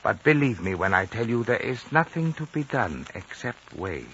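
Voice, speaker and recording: A courteous Chinese diplomat of a remote monastery, very deep and formal with a lively rise and fall. He chooses his words with ceremonious evasion, on a dull, noisy old radio recording. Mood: calm, polite. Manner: formal, measured, ceremoniously polite. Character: courteous, evasive Chinese male lamasery official, soft-spoken diplomat. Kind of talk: 1950s radio drama acting.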